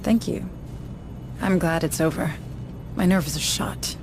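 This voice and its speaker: An actress playing a composed, determined deputy administrator in video game dialogue, speaking in a measured, formal, dry way. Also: female voice, low-pitched, music in the background. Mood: tired, relieved.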